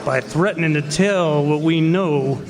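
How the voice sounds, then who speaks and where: Sly western outlaw male actor, highish voice, scheming and folksy, radio play staged in an echoey hall. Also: measured pace, crowd noise, natural voice. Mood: scheming, threatening. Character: Bogus, scheming blackmailing male cowboy outlaw and killer. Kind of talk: old-time western radio drama acting.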